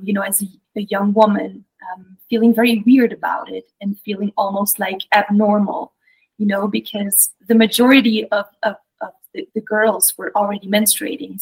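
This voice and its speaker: A woman's voice: mid-range voice, gravelly, slightly rough, low-quality recording. A young adult woman from Belgium, a clinical psychologist, telling her story as an interview guest, hesitant with many fillers and restarts. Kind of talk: casual interview talk.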